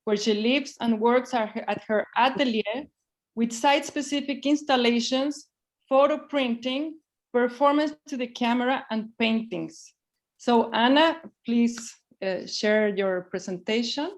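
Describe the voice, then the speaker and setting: Mexican woman running a zoom art talk, kinda high voice, friendly, stumbles a bit. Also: a little slow. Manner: friendly, slightly hesitant, restarts often. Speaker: host of online artist talk. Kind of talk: moderating a video call. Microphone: video call.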